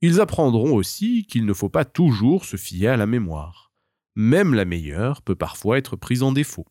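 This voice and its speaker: A man's voice: mid-range voice, sing-song, a little slow, warm tone, no echo, clean studio sound. A man voicing a proud, clever mother hen, formal and theatrical, in a children's tale. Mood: feigned contrition.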